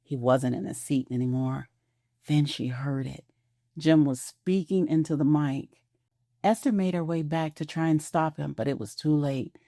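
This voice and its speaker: A woman narrating an audio drama in a soft, measured storytelling voice, recorded on a close studio mic. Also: low-pitched, no echo.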